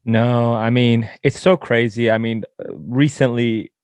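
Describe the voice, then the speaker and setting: A man's voice: medium pitch, steady tone, unhurried, a little mellow, smooth, dry recording. A man hosting a podcast, asking questions with enthusiastic, repeated emphasis. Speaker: podcast host. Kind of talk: interview questions.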